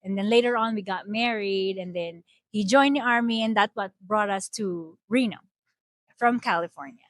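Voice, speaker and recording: A woman's voice: medium pitch, moderate pace, a bit bright, no echo. A woman from the Philippines, matter-of-factly recounting how her family immigrated. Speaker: interviewee. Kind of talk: personal story interview.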